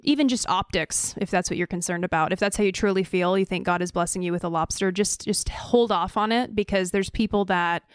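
A woman co-hosting a podcast, giving her opinion casually and earnestly, haltingly repeating words as she searches. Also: mid-range voice, clear, resonant tone, dry recording, studio quality. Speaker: podcast co-host.

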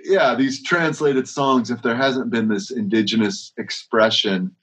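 A man's voice: mid-range voice, fairly even intonation, a little slow, fairly bright tone. A man who leads worship, talking casually in an interview, rambling with frequent restarts and strings of rhetorical questions. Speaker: worship leader.

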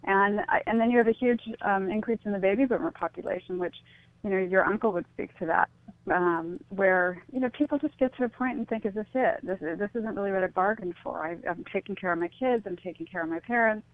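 A middle-aged woman, a guest on a radio show, speaking reflectively with hesitant restarts and soft exclamations over a phone line. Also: medium pitch, fairly quick, no echo. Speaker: guest, suicide prevention advocate.

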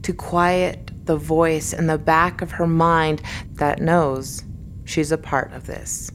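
A woman's voice: low-pitched, level tone, slow pace, slight background noise. A woman narrating a scripted dramatic story as the narrator of an audio drama.

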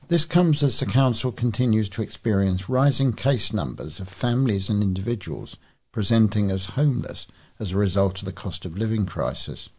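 Man, deep husky voice, varied intonation, scripted local news read aloud. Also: a little mellow. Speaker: volunteer newsreader.

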